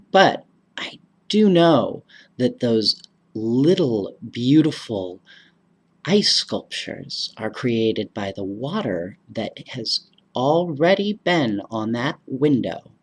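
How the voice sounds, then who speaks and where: A bedtime story reader narrating in an animated storybook style, with chatty asides to children. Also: mid-range voice.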